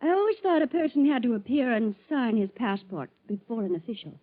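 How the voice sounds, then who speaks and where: An actress playing a suspicious, principled fiancée in a 1940s radio drama. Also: female voice, fairly high pitch, sing-song, brisk. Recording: tinny, band-limited 1940s radio recording.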